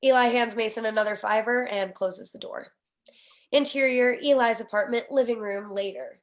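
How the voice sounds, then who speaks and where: A woman's voice: a little high, normal speed. A woman narrating a screenplay's stage directions in a scripted read.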